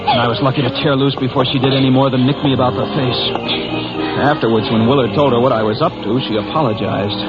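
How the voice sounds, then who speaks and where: A man's voice: mid-range voice, close to an everyday voice. An actor playing an American bus driver who narrates his own suspense tale in a folksy, plainspoken 1940s radio style. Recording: muffled old radio recording with loud music.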